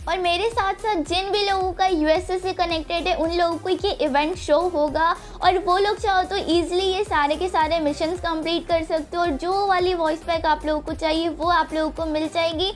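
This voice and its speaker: A young woman with an Indian accent and a strikingly high voice presents a game event walkthrough. She addresses her viewers directly as a channel host, over background music. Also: fairly even intonation, moderate pace, hardly any pauses.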